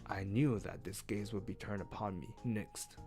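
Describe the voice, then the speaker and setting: A man reading a story aloud as host of a read-along, with expressive narration and casual asides. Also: on the low side, moderate pace. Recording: music behind.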